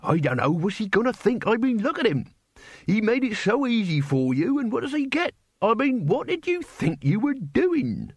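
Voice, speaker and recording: A man's voice: very animated, moderate pace, breathy edge, no room sound, clean studio sound, exaggerated voice. A man voicing an exasperated older male crook teaching a young thug, scolding and theatrical. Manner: scolding, theatrical, climbing high in pitch. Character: exasperated older male street-crime tutor.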